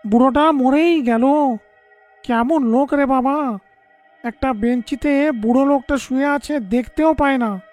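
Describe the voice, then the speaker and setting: Lady voicing a grumpy bossy stranger guy, scolding, kinda high voice, cartoon story. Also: unhurried, a little mellow, soft background music. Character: curt stranger man at a strange station.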